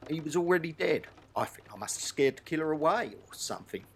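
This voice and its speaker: A man's voice: a little high, animated, quick pace, vocal fry, slight background noise. A man playing an ordinary, glib witness giving his account in an audio drama. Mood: explaining. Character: ordinary male murder witness, glib, secretly guilty.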